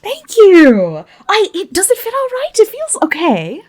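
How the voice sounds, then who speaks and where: A woman's voice: very high voice, wildly swooping pitch, unhurried, a little mellow, pitched above the performer's own voice, full character voice. A woman voicing a sweet, hesitant young opera singer who speaks politely and stammers a little in a tabletop roleplay game. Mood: pleased, unsure.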